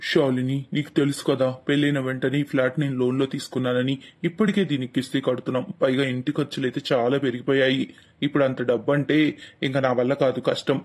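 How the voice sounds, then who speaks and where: A weary young husband from a moral story, acted by a man. He pleads earnestly and quickly, with little rise or fall in his medium-pitched voice. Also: flat delivery, lightly acted. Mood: pleading.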